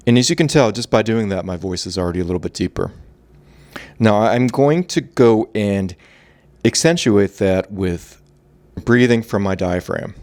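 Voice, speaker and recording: Man, low voice, relaxed conversational voice coach. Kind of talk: instructional talk.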